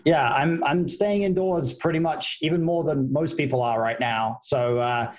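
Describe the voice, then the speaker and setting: A man in his thirties from the UK with a British accent softened by American influence, talking easygoingly and thoughtfully as a podcast guest with frequent restarts. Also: fairly high pitch, moderate pace. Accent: British, partly Americanized. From United Kingdom. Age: 30s. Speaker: guest, poker player and coach. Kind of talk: casual podcast interview.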